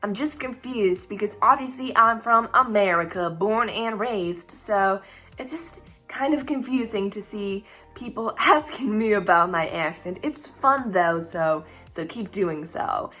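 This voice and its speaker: A young woman from Spain, a native Spanish speaker, chats through a casual Q&A in English. She rambles, laughs often and keeps an easygoing, talky flow. Her voice is bright and clear, with faint music playing behind her. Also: mid-range voice, lively intonation, unhurried. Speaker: YouTube channel host. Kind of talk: casual Q&A vlog.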